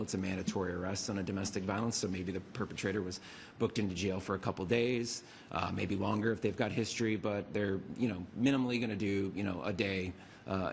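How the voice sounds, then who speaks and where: Hoarse man with a very low voice, quick, halting delivery with fillers, committee presenter in a meeting room. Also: slight background noise. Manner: fast, halting with many fillers and restarts. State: hoarse from a cold. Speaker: city attorney's office lawyer. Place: city council meeting room.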